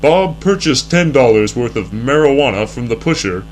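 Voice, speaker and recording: A man's voice: mid-range voice, expressive, moderate pace. A young man reading scripted narration in the earnest, formal announcer style of a 1960s educational film. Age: young adult.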